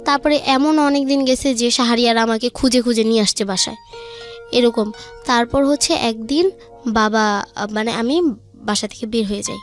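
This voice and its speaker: A woman's voice: on the high side, normal speed, soft background music. A young woman with a Bangladeshi accent, a guest telling her own life story in informal speech. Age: young adult.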